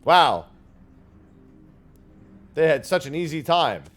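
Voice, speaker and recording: A man's voice: on the high side, lively intonation, slow pace, a bit bright, soft background music. A man streaming a game with casual, blunt and sweary commentary. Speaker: streamer playing a story game. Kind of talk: casual game commentary, reading dialogue aloud.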